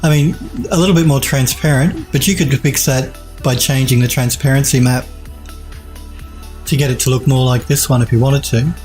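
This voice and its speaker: Man, 40s, stuffed-up nasal head cold, warm-toned enthusiastic streamer, faint music behind. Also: mid-range voice, fairly even intonation, normal speed. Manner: enthusiastic, repeats praise, restarts. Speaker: 3D art streamer reviewing viewer work. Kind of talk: casual live-stream critique. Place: home streaming room, indoors.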